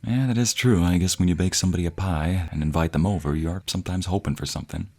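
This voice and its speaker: A man's voice: sits low, fast talker, a little mellow. A young man playing a devoted, teasing boyfriend in a romantic audio roleplay, murmuring affectionately close to the microphone. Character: playful, devoted young boyfriend, a villager with a secret. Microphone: close binaural microphone.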